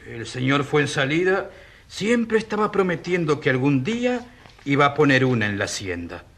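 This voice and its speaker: Husky, simple peasant man. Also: a little high, big pitch swings, moderate pace, a bit bright, full character voice. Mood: artless, frank. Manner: artless, folksy. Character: simple, unschooled peasant, artless and frank. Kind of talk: courtroom radio drama acting.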